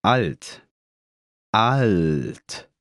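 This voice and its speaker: A deep-voiced man gives slow, deliberate pronunciation drills and repeats each word twice. His delivery is very unhurried, and the recording is dry and close. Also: very animated, fairly bright tone, no room sound. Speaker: German pronunciation speaker.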